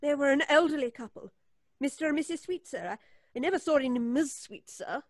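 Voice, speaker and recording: An actress playing a distressed, indignant, prim woman, emphatic and high-strung, in old-time radio suspense style. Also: animated, fairly quick, dry recording, studio quality. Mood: indignant, sceptical.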